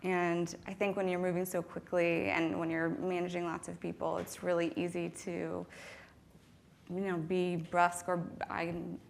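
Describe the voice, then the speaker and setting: A woman moderating a live panel and answering in a steady, plainspoken way. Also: lowish voice, unhurried, gravelly, a little hiss or hum. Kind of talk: panel discussion.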